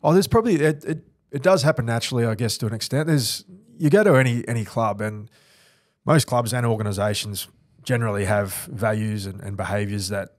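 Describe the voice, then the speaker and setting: A man's voice: medium pitch, a little fast, no room sound. A man in his thirties, born in New Zealand, with an Australian accent, a former rugby league player speaking earnestly and reflectively in a studio podcast interview. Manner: earnest, reflective, many restarts. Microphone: studio mic on boom arm.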